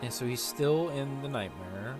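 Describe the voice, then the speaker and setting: A man streams a game with loud music behind him. His mid-pitched voice rambles casually, repeats itself and swears as he comments and reads out text. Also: expressive, normal speed. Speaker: gaming streamer playing through a story quest.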